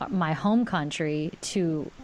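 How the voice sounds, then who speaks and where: A woman from California, co-hosting a podcast, speaking in a playful, joking way. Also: deep voice. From California, USA. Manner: playful, joking, quick laughs. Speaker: podcast co-host. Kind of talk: casual chat.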